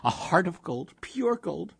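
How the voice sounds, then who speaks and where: A man's voice: sits high, very animated, measured pace, creaky, a bit bright, breathy edge, dry recording, voice pushed up, heavily acted. A man reading a short story, voicing a nagging, easily nettled old immigrant wife with sing-song rhetorical questions and Yiddish-style phrasing. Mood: brisk, fussy. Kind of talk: short story read aloud.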